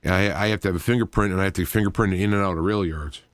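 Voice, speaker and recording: A man with a low-pitched voice hosts a live talk radio show, recorded close and dry with no room sound. He speaks in an informal monologue that wanders into explanatory asides, working through his points as he goes. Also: racing, no echo.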